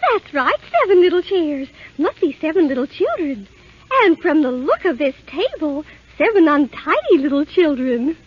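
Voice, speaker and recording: An actress playing a gentle, sweet young princess in a 1930s radio drama. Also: female voice, extremely high pitch, very noisy, full character voice. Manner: soft, sweet, wondering. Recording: dull old radio broadcast with music.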